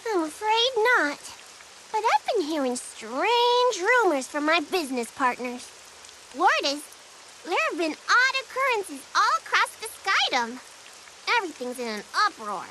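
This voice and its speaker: Fantasy game role: friendly lady trader, high swooping voice, chatty, over music. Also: big pitch swings, measured pace, background noise, full character voice. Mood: concerned, chatty. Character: chirpy, chatty female trader, friendly and fussy. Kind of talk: anime fantasy game voice acting.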